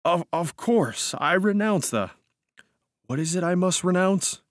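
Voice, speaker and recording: A man's voice: medium pitch, very animated, normal speed, no echo, natural voice. A male reader plays a boyish soldier cousin from a storybook, easygoing and familiar. His voice lilts up and down as he soothes and placates, kindly throughout. The sound is close and dry. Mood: startled, placating. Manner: genial, placating, old-chap familiarity.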